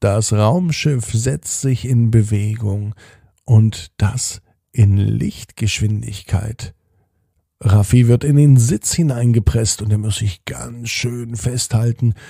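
A man hosting a children's bedtime podcast, narrating a story in a soft, slow, soothing way and speaking directly to the children. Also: mid-range voice, creaky, husky, no echo, studio quality.

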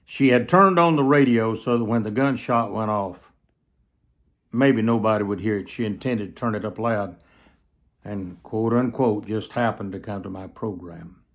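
A man in his seventies preaching through personal stories, speaking earnestly to camera with emphatic, drawn-out phrases. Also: fairly low pitch. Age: 70s. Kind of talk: sermon storytelling told to camera. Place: small plain indoor room, seated.

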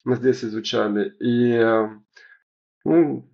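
A man in his forties from Romania, speaking Russian as a second language with a Romanian accent, answering interview questions slowly and searching for words with many fillers. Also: medium pitch, gravelly, lo-fi sound. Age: 40s. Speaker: sommelier guest. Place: indoors at home, bright room.

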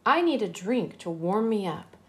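A woman voicing a fussy, cheerful male snowman in a children's storybook, with exaggerated, drawn-out words. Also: medium pitch, very animated, a bit bright. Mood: shivering, complaining.

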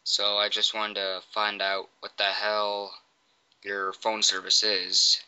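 A man's voice: lowish voice, completely flat delivery, takes it slowly, sharp tone, clear, resonant tone, no echo. A man making a casual prank phone call, informal and provocative, acting clueless. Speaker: prank caller.

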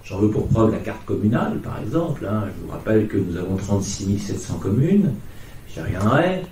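Lowish-voiced man lecturing in echoey hall. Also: sing-song, fairly quick, warm tone, recorded from a distance. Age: 40s. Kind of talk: explanatory public lecture. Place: conference hall, on stage. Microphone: microphone close in front. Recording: echoey hall, faint background noise.

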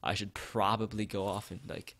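A man's voice: fairly low pitch, flat delivery, moderate pace, fairly bright tone, no room sound. A man live streaming a visual novel, reading its text aloud and drifting into rambling asides to the chat. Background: background game music.

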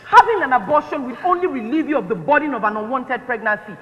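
High, animated Nigerian clinic matron played by an actress. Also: female voice, fast talker. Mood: insistent pleading. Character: earnest, insistent, motherly clinic matron. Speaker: stage actress. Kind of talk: stage play acting. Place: stage in a large hall. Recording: echoey stage hall, muffled.

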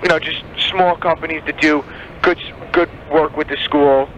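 A man working as a telemarketer, giving a sales pitch over a phone line. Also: steady tone, moderate pace, fairly bright tone. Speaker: telemarketer selling advertising. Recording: dull phone line, faint noise.

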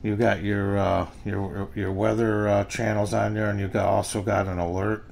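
An older man with an American accent gives a casual, unscripted product review in a halting, plain-spoken way. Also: fairly low pitch, little pitch movement, creaky, a little mellow. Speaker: gadget reviewer. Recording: noisy amateur home recording.

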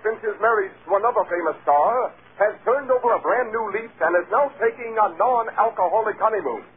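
An actor playing a snappy, snide male Hollywood gossip columnist, punchy and insinuating, in 1930s broadcast style. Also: high-pitched. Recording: scratchy, tinny old radio broadcast.

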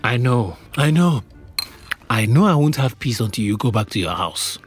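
A man's voice: medium pitch, sing-song, normal speed, faint music behind, close to an everyday voice. An actor with a Nigerian accent playing an exasperated, grumbling young male cousin in an audio drama. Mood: weary annoyance. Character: exasperated young man, grumbling but soft-hearted cousin.

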